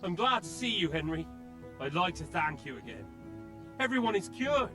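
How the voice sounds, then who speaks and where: A pious, grateful village elder, whose slightly high voice sits over clear music. He speaks kindly in a game scene. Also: very animated, normal speed. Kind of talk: video game cutscene acting.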